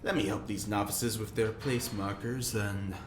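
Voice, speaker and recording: A man's voice: lowish voice, fairly even intonation, unhurried, reverberant, slight background noise, natural voice. A man playing a genteel, encouraging prisoner who leads a knitting circle in an audio drama, fussy and courteous. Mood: kindly, encouraging.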